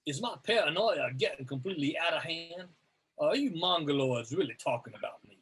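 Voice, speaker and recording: A man's voice: on the high side, lively intonation, a little fast, fairly bright tone, husky. A man taking part in a casual amateur read-through of comic dialogue. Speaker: reader in an amateur comic dramatic reading.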